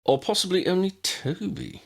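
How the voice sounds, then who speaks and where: A man's voice: sits high, lively intonation, normal speed, gravelly, husky, voice pushed up, heavily acted. Man voicing a wordy, wry, formal advisor. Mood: dryly witty.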